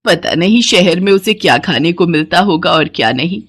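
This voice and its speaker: A woman's voice: lowish voice, expressive, normal speed, slightly rough. A woman voicing a devoted, protective village mother who speaks plainly in a cartoon moral story. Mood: fond.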